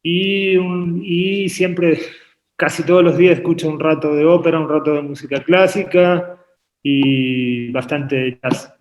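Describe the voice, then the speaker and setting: A man from Uruguay raised in Argentina, talking about his work as a painter on a video call, often pausing and repeating words as he thinks. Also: a little high, fairly even intonation, dull audio. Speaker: painter and artist guest. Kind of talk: relaxed interview conversation. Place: home painting studio. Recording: echoey room on a video call.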